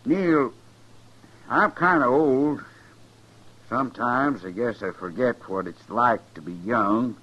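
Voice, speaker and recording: An actor playing a gruff, proud old rancher with a halting, blustery manner in a 1950s radio western. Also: male voice, mid-range voice. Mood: halting, sheepish. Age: older. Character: gruff, proud old male rancher, awkwardly soft-hearted. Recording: muffled 1950s radio recording.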